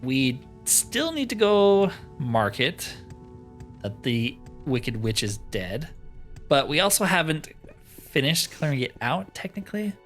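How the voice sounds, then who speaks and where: A man's voice: on the high side, sing-song, slow pace, fairly bright tone. Casual man commentating over game music. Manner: thinking aloud in short casual remarks. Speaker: gaming host.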